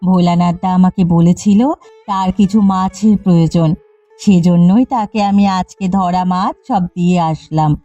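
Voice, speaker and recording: A woman's voice: lowish voice, moderate pace, warm tone, no room sound, natural voice. A woman voicing a kind, firm eldest sister, a village fisherwoman in a cartoon. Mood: firm, mildly annoyed. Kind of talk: Bengali cartoon dialogue. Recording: slightly muffled audio with background music. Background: background music.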